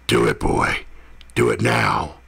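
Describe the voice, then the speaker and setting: A man's voice: low-pitched, big pitch swings, slow, gravelly, a bit bright, husky, no echo, heavily acted. A man voicing a cruel, towering, sly villain with a menacing tone in a story reading.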